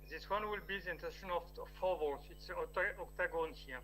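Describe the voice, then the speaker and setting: Man with a French accent, bright voice, online lecture, faint music behind. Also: fairly high pitch, fast talker, poor audio. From France. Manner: steady explaining, occasional restarts. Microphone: video call.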